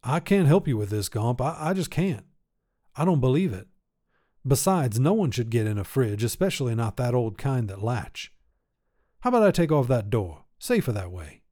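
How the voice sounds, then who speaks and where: A man's voice: mid-range voice, big pitch swings, normal speed, dark tone. Man reading as a worried, doubtful young grandson. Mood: worried, refusing. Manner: hesitant, questioning.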